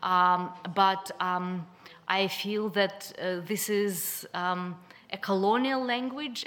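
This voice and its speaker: A woman poet from Belarus, whose first language is Russian, speaking slowly and thoughtfully at a panel discussion, pausing often with ums. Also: medium pitch, pushed and bright. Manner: slow, reflective, many ums.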